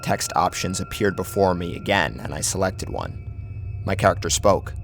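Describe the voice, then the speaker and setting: A man's voice: sits low, moderate pace. A man reading a scripted first-person horror story as a narrator, with a dramatic, theatrical delivery. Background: loud music.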